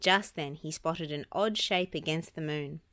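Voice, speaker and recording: A woman narrates a children's audiobook. Her voice sits a little low for a woman, and she tells the story expressively. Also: unhurried, a bit bright. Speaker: storybook reader.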